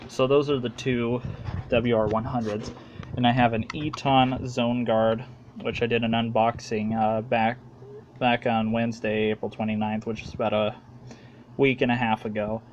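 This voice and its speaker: A man with an American accent giving a casual, halting, plain-spoken show-and-tell as a hobbyist making a home video. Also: mid-range voice, level tone, highs cut off, slight background noise.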